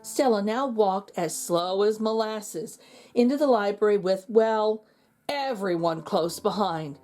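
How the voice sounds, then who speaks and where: A woman reading a children's storybook aloud in an expressive, emphatic way. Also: mid-range voice, measured pace. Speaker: children's book reader. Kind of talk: storybook read-aloud narration.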